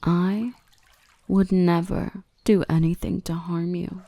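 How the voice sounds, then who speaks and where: A woman playing a gentle, nurturing, softly dominant dark elf in an intimate ASMR roleplay, speaking close up in a soothing, reassuring, often half-whispered voice. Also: on the low side, fairly even intonation, takes it slowly, dry recording. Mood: soothing, tender.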